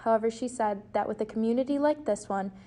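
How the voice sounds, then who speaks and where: A woman's voice: on the high side, fast talker, dark tone. A woman news reporter delivering a scripted television news report.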